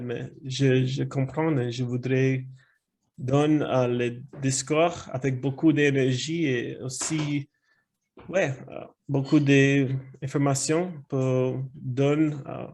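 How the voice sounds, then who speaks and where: Slow, hesitant man speaking learner's French. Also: mid-range voice, little pitch movement, darkish tone. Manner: hesitant, searching for words, polite. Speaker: new club member introducing himself. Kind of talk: hesitant spoken self-introduction. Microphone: video call.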